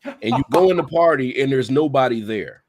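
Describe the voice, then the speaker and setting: A man's voice: mid-range voice, expressive, brisk, a little mellow, no echo. A man co-hosting a casual podcast, laying out a laid-back hypothetical scenario to his friends. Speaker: podcast co-host. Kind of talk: casual political banter.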